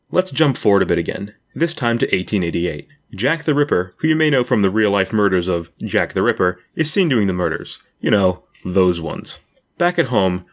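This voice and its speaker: A man narrating a scripted video retrospective as an essayist, with dry, wry asides. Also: on the low side.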